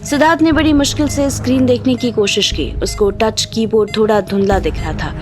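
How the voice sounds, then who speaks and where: Slightly high-pitched woman narrating a drama. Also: a little fast. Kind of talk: scripted audio drama narration. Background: background music.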